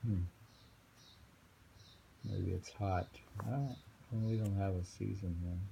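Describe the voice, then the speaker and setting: A middle-aged man reading short poems aloud and commenting casually, in a musing, halting way with frequent hums and pauses. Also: deep voice, vocal fry, warm tone. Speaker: poetry reader. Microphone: handheld phone. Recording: noisy amateur phone recording.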